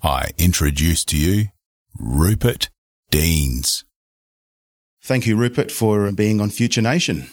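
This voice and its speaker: A man hosting a podcast, reading a formal, measured introduction and interviewing a guest. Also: sits low, expressive, no echo, studio quality. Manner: formal, measured, read-out delivery. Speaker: podcast host.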